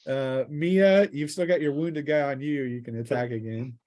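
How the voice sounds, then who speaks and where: A man's voice: mid-range voice, moderate pace, a little mellow. Casual man running a tabletop game. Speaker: game master. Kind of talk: narrating a tabletop role-playing game.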